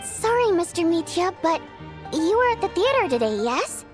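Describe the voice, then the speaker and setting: A woman voicing a proud, touchy young theater singer in a video game, measured and pointed. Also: very high voice, sing-song. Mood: hurt, accusing. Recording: music under the voice.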